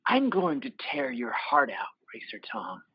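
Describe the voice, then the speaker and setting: An actor in an audio play voicing a vengeful young biker turned mutant, raspy, intense and menacing. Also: male voice, mid-range voice, wildly swooping pitch, measured pace, dry recording, highs cut off, exaggerated voice. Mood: cold threat. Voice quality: raspy, rough.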